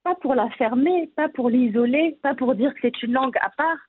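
A woman's voice: sits high, brisk, breathy edge, no room sound. A woman from France of Moroccan Berber family, an author answering interview questions thoughtfully, with frequent hesitations. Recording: tinny phone-like remote line.

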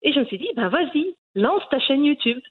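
A woman's voice: high-pitched, animated, fairly quick, narrow, tinny audio. A woman in her forties from Belgium, a cooking YouTuber answering interview questions earnestly, with many hesitations. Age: 40s.

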